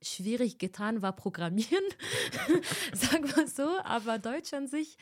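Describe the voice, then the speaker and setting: A woman's voice: on the high side, big pitch swings, a little slow. Young woman from Egypt, twenties, casual interview chat, fillers, laughs often. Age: 20s. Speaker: interviewee, student.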